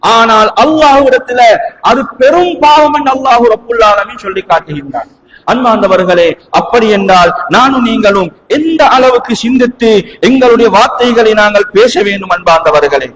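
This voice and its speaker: A man's voice: very high voice, lively intonation, normal speed, fairly bright tone. A man with a Sri Lankan Tamil accent preaching a sermon emphatically, leaning on rhetorical questions. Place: mosque hall. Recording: long hall reverb.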